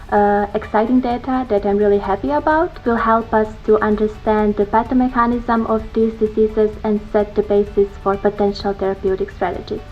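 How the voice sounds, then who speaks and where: A young woman from Croatia, a PhD researcher giving a measured, scripted-sounding video testimonial. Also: medium pitch. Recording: slightly dull lo-fi audio.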